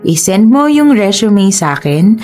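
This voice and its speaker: A woman's voice: mid-range voice, very animated, a little slow, dark tone, soft background music. An actress playing a loving, reassuring young girlfriend in a radio drama, cheerful and laughing often.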